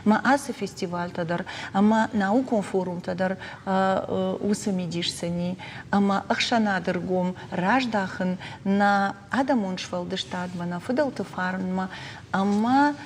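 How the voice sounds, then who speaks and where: A woman's voice: mid-range voice, a little slow. A woman with an Ossetian accent speaking unscripted as an interviewed guest about her work. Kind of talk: unscripted studio interview talk. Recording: echoey room, noisy background.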